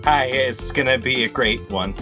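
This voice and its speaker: A middle-aged man improvising casual comic definitions as a panelist, hesitant and deadpan. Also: medium pitch, normal speed, soft background music. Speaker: comedy game panelist. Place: room at home, video call.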